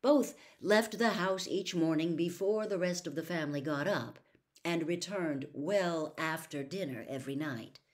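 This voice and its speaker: A woman reading a story aloud in an expressive storybook narration style. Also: fairly low pitch, measured pace.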